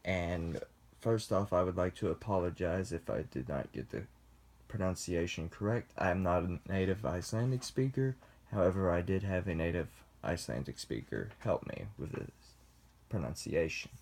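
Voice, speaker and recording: A young man giving a class presentation, reading slowly and deliberately with pauses. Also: low-pitched, lively intonation, vocal fry, a little mellow. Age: young adult. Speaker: student presenter. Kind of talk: class presentation read from script.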